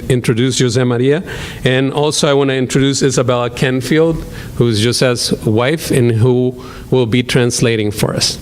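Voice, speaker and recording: A man's voice: mid-range voice, clear, resonant tone. A man from El Salvador with a Salvadoran Spanish accent, a professor giving a hesitant introduction to a guest speaker, with frequent ums and restarts. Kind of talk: lecture hall introduction.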